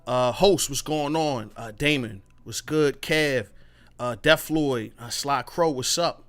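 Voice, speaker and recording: A man hosting a casual gaming podcast, talking loosely in slang with plenty of swearing and shout-outs to his chat. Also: medium pitch, takes it slowly. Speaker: podcast host.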